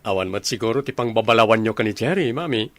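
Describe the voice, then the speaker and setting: A man's voice: on the low side, animated, fast talker, close to an everyday voice. A man with an Ilocano accent playing an earnest, lovestruck young man and devoted son in a comedy radio drama. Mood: earnest, happy.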